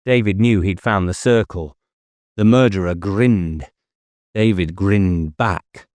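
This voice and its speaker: Male audiobook narrator, lower register, dry close sound, measured dramatic delivery of fiction. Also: darkish tone, dry recording. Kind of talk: scripted novel narration.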